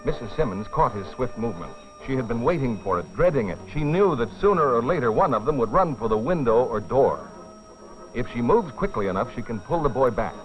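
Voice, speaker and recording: A man's voice: medium pitch, lively intonation, fairly quick. A man narrating a safety training film as a dramatic scripted story, in a grave, urgent, theatrical manner. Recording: dull vintage film soundtrack with music.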